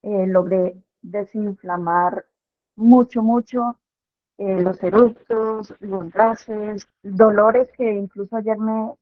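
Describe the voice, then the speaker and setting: Una mujer que cuenta su experiencia en una clase en línea, hablando con vacilaciones y muchos "eh". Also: female voice, mid-range voice, steady tone, slow, no room sound. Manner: hesitant, with many "eh" fillers. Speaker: student giving a testimony. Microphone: video call. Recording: tinny phone-like video call audio.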